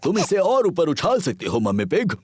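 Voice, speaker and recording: A man voicing a boastful, jovial father pig in a children's cartoon, husky and quick to defend himself, with exaggerated intonation. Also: high voice, huge pitch swings, noisy background. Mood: smug.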